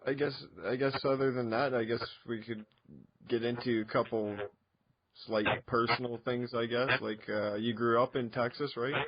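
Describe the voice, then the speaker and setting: A man's voice: mid-range voice, little pitch movement, normal speed, dry recording. A Canadian man interviewing a guest in a loose, casual, conversational style. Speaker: radio interviewer. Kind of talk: casual, profane interview chat. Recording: dull, lo-fi close recording.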